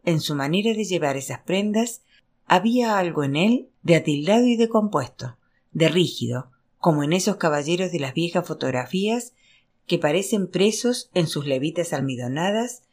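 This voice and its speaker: A woman reading literary fiction aloud as scripted narration, in an expressive storytelling manner. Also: fairly low pitch. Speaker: audiobook reader.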